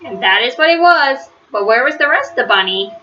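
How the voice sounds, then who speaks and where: A woman with a bright, fairly high voice reads a children's storybook aloud. She phrases each sentence simply and deliberately, leaning on key words for young listeners. The sound is slightly dull and lo-fi, like a home recording. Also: lively intonation. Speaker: storybook reader. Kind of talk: children's read-aloud narration.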